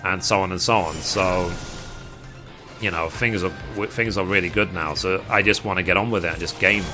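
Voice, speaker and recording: A man's voice: sits low, fairly even intonation, normal speed. A man with a British accent chatting casually and at rambling length with his live stream viewers as a gaming streamer. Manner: rambling, reading chat aloud and replying. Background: music.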